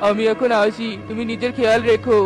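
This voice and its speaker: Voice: medium pitch, level tone, a little fast, close to an everyday voice. A performer voicing a concerned, consoling male parrot in a cartoon, with music behind. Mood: firm, consoling.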